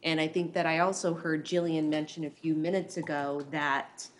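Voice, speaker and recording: A woman advising a board at a public meeting, explaining in a measured, hesitant way. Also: low voice, steady tone. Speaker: school official advising the board. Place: public meeting room, indoors. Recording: noisy meeting room recording.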